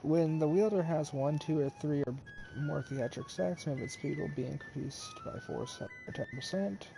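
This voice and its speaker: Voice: medium pitch, huge pitch swings, normal speed, creaky, poor audio. A gamer gives casual commentary in a mid-range voice that swings very widely. Game music plays behind. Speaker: gamer, video maker.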